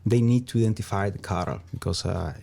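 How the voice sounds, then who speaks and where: A man from Venezuela with a Venezuelan Spanish accent, answering interview questions in a halting way as he searches for words. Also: on the low side, creaky, mellow, slightly rough. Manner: halting, searching for words, frequent "you know". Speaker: interview guest, small business founder.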